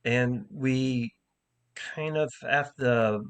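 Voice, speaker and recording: A man's voice: medium pitch, a bit bright, clear, resonant tone. A man who stutters, an improv teacher and comic, talking candidly in a casual conversation with many repeated words and restarts. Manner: candid, halting, thoughtful.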